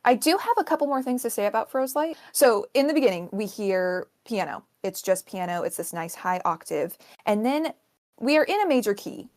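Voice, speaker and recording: A woman's voice: mid-range voice, lively intonation, a little fast, darkish tone. A woman talking as a podcast panelist, explaining music informally in a precise, step-by-step way.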